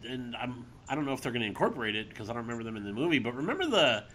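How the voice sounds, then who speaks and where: Bright, very expressive male co-host, slight background noise. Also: medium pitch, very fast. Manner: giggly, rambling, hesitant. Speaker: podcast co-host. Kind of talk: casual podcast banter.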